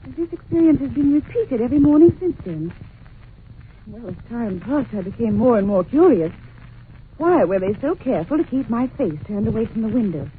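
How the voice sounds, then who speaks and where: A woman's voice: fairly high pitch, fairly quick, lightly acted. A noisy, narrow-band vintage radio recording carries an actress as a young governess. She is well-mannered but anxious and curious, and speaks with earnest, theatrical expression. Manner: polite, earnest, expressive storytelling. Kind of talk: old-time radio drama acting.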